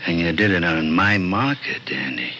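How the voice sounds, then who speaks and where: A man's voice: low voice, animated, moderate pace, pushed and bright, highs cut off, full character voice. An actor playing a coolly mocking, streetwise gangster boss in 1940s radio drama style, with taunting, slangy tough-guy talk. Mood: cool menace. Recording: noisy old-time radio recording.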